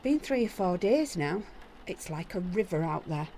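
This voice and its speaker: A woman's voice: lowish voice, expressive, normal speed, warm tone, slight background noise. A woman playing a dutiful formal guard. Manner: crisp, formal reporting. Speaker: guard in audio drama. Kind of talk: audio drama acting.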